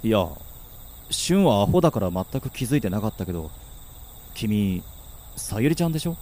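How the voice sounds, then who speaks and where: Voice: mid-range voice, big pitch swings, normal speed, mellow, slight background noise, lightly acted. An actor playing a cool, level-headed teenage schoolboy in a Japanese audio drama, talking in a casual, dry, frank way. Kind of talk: Japanese anime-style audio drama acting.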